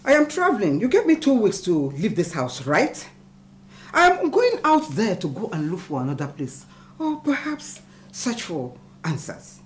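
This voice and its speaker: A woman's voice: on the low side, lively intonation, unhurried, long pauses, a little mellow, a little hiss or hum. An actress playing a wounded, proud middle-aged mother in a film melodrama, speaking emphatically. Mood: angry, defiant. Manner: emphatic, declamatory. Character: wounded, proud elder mother scolding her son. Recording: music under the dialogue.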